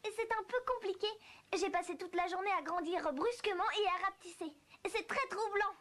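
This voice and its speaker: A woman voicing a polite, bewildered little girl in an animated fairy tale, hesitant and earnest as if talking to herself. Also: very high voice, normal speed. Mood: confused. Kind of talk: French animated film dubbing.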